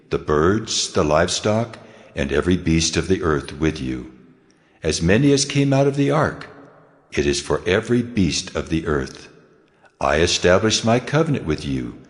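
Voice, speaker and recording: A man playing God as a solemn, commanding deity in a dramatised Bible audio reading. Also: sits low. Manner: solemn, authoritative. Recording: long cavernous reverb.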